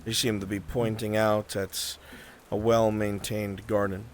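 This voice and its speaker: A man acting as game master, narrating a live roleplay scene in deliberate short phrases with atmospheric pauses. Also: on the low side, flat delivery. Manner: deliberate, pausing between short descriptive phrases. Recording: noisy, with rain ambience behind. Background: rain ambience.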